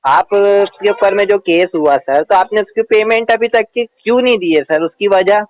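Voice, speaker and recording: A high-voiced man asks questions over a recorded phone call. The sound is close and dry. He stays polite and deferential while he keeps pressing his point. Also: normal speed, dry recording. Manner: polite but persistent, often says sir. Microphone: telephone.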